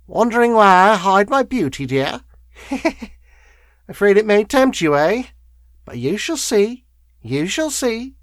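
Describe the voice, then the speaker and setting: A man's voice: high-pitched, sing-song, slow pace, fairly bright tone, no echo, studio quality, pitched above the performer's own voice, heavily acted. A man voicing a bent, cunning middle-aged slum woman with a wheezy voice, in an audiobook story. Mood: sly, teasing. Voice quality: wheezy, chuckling. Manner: wheedling, coarse, addresses listener familiarly.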